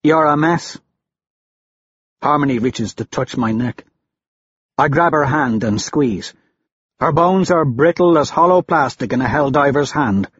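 A male narrator reads first-person fiction at a slow, deliberate pace with a grave, brooding manner. His mid-pitched voice is slightly husky, and the recording is a little dull. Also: a bit bright, dry recording. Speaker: audiobook narrator.

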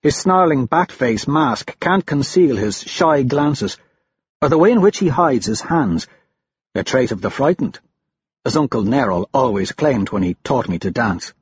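A man's voice: mid-range voice, lively intonation, a little slow, highs cut off, a little hiss or hum. A man reading a dramatic first-person story as an audiobook narrator, grave and brooding in his delivery.

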